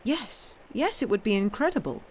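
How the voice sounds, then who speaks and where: A woman's voice: fairly high pitch, very animated, moderate pace, pitched above the performer's own voice. A woman voicing an anxious, educated young governess in a storybook reading, formal and precise. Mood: sarcastic, conceding. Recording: clearly noisy background.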